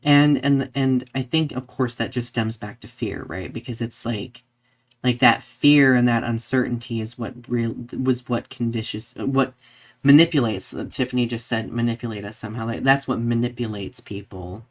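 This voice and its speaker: Casual male podcast co-host, halting delivery. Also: medium pitch. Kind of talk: casual discussion, reading viewer comments.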